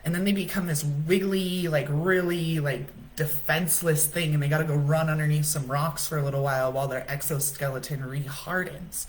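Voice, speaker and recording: Low-voiced woman coaching casually. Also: fairly even intonation, moderate pace, hardly any pauses. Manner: casual, chatty, says "right?" often. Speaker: livestream host. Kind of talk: spiritual coaching talk.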